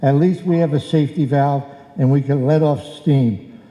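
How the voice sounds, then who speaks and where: Casting: male actor as sentimental, blustery husband for old-time radio comedy, fairly high voice, very dark tone, live hall sound. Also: steady tone, normal speed, lightly acted. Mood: tender. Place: indoor hall stage, live performance. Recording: echoey hall, live stage performance.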